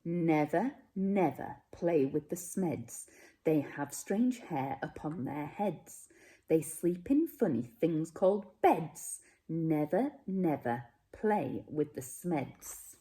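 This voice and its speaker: An indignant old grandmother from another planet, put on by a woman reading a storybook. She scolds in a loud, dramatic, emphatic way, her pitch rising and falling widely. She sounds bossy and thoroughly disapproving. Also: animated, takes it slowly. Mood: stern warning.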